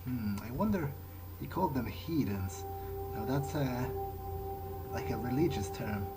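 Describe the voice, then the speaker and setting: A man improvising in-character narration for a game playthrough, speaking in a halting, understated, thoughtful way. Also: medium pitch, animated. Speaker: gaming video creator roleplaying a character. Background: game music.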